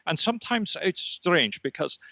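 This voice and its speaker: A man voicing a serene extra-dimensional light being with formal, solemn, halting speech on a comedy podcast. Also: sits high, sing-song, bright, forceful tone, no room sound, lo-fi sound, exaggerated voice. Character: lofty extra-dimensional red dot energy being, serene and cosmic. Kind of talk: improvised comedy podcast character.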